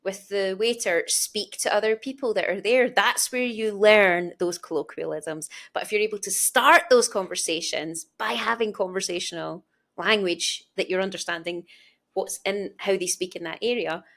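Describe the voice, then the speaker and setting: A woman's voice: medium pitch, normal speed, a bit bright. A talkative woman with a Glasgow Scottish accent, explaining her points conversationally as an interview host. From Scotland.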